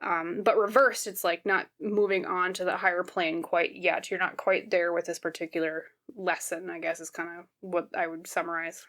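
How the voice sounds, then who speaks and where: A woman with a slightly scratchy voice giving a casual, conversational tarot reading to her viewers, explaining gently and often checking in. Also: lowish voice, flat delivery, normal speed, few pauses. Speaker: tarot reader, host.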